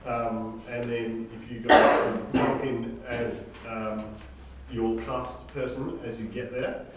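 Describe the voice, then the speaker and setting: A man's voice: mid-range voice, level tone, slow. Echoey, far-off man briefing a group. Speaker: project leader and director running a table read. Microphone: distant room microphone. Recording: distant, echoey room with clear noise.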